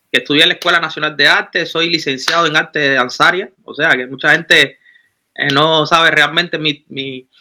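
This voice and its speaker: Bright-voiced Cuban man telling his story. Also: sits high. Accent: Cuban Spanish. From Cuba. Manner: animated, rambling with frequent restarts. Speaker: musician guest. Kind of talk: casual interview talk.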